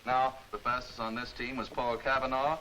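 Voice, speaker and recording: Chatty American game show host, noisy old broadcast. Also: male voice, mid-range voice, little pitch movement, normal speed, bright, forceful tone. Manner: chatty, teasing, informal. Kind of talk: lively game show hosting, ad-libbed banter. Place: television studio. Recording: noisy 1950s television broadcast soundtrack.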